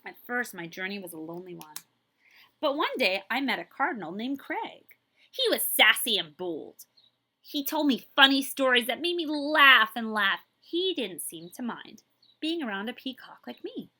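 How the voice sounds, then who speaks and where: A woman librarian reading a picture book aloud to children in an expressive way, with drawn-out emphasis and a habit of addressing her listeners. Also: fairly high pitch. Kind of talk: children's read-aloud storytelling with asides to listeners.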